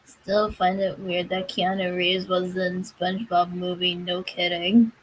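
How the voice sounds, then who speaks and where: A woman's voice: on the low side, flat delivery, a little slow, slightly rough, poor audio. A woman game streamer chatting casually as she streams. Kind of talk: casual chat while streaming.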